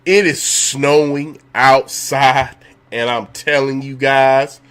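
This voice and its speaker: A man hosting a live call-in show from home, talking in a relaxed, chatty way with a slightly hoarse voice and repeating his questions for effect. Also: medium pitch, takes it slowly. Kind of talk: casual live-stream talk.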